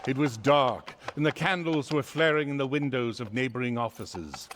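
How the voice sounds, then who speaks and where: A man narrating a story theatrically in a wry, formal, deliberate way, in an echoey theater hall. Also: medium pitch, fairly bright tone, a little hiss or hum. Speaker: stage narrator and presenter. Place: theater stage.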